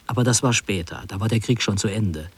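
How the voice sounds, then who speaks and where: A man playing a wry, reflective narrator recalling his wartime youth in literary radio drama, measured and lyrical. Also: on the low side, noisy background, close to an everyday voice.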